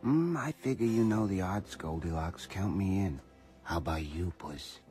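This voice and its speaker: Very deep, wisecracking mobster. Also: male voice, animated, moderate pace, mellow, soft background music. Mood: wry, relaxed. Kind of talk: radio drama acting.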